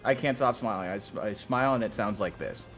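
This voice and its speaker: Someone chatting casually on a group stream in a halting way, repeating phrases as they go. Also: medium pitch, over music.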